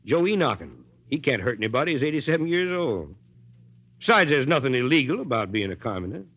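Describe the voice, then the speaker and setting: Folksy American small-town mayor, animated delivery. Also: male voice, medium pitch. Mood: calm, wry. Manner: folksy, unhurried, drily reasoning. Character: easygoing small-town Texas mayor, youthful-looking husband, drily stubborn. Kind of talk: 1940s radio acting. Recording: muffled 1940s radio broadcast recording.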